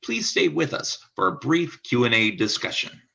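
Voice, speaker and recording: A man's voice: medium pitch, very animated, moderate pace, vocal fry, bright, forceful tone. A man reading scripted stage-direction narration for a radio play.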